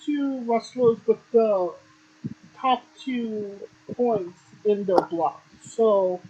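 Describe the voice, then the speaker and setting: Podcast host, a man with a husky voice, plain and matter-of-fact with offhand swearing, muffled home mic. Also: high-pitched, drawn-out words, warm tone. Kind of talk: casual wrestling chat.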